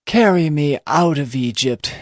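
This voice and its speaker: Slow, solemn aged patriarch. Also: male voice, a little high, lively intonation, no room sound, studio quality. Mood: solemn pleading. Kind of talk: audio drama Bible acting.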